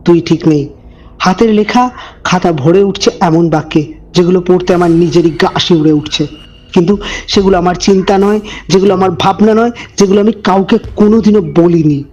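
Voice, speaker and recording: A man narrating a scripted horror story as host, with deliberate dramatic phrasing and suspenseful pauses. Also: sits high, darkish tone, dull audio.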